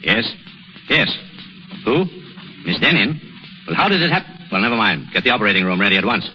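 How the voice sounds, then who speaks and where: An actor playing a commanding senior surgeon in a 1930s radio drama, with a clipped, authoritative manner. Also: male voice, on the low side, sing-song, fairly quick, sharp tone, full character voice. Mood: brisk urgency. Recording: muffled 1930s radio recording, faint noise.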